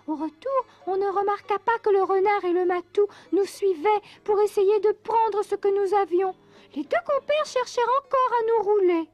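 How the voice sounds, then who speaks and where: A woman's voice: extremely high pitch, muffled recording. A woman voicing a small friendly companion who narrates a children's cartoon in an articulate storytelling manner. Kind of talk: French-dubbed cartoon narration.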